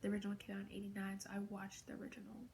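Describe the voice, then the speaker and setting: A young woman in her late teens talking in a casual fan vlog, gushing in a soft, half-whispered way, with lots of "like" fillers. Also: medium pitch, monotone, racing, creaky, a little hiss or hum. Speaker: vlogger.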